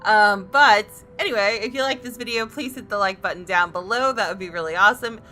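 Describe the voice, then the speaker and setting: A woman gaming creator reading visual novel narration aloud, with expressive reactions. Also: mid-range voice, a little fast, a little hiss or hum. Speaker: gaming YouTuber.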